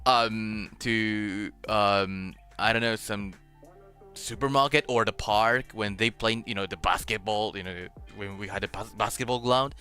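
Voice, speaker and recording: A man hosting a casual streamed chat, halting as he searches for words in a language that is not his native Japanese, sometimes switching to Spanish. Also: lowish voice, fairly even intonation, bright, forceful tone, clear, resonant tone. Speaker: host of a streamed radio show. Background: music.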